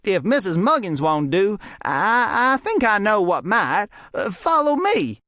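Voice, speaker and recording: A man voicing an excitable little male deputy with a squeaky, eager voice. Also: unhurried, no echo, clean studio sound, heavily acted.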